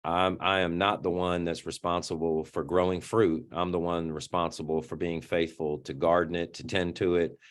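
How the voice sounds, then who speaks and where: A middle-aged man with a deep voice, speaking as an interview guest in an earnest, reflective way and often repeating words as he starts a sentence. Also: level tone, moderate pace, hardly any pauses. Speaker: interview guest, youth organization founder.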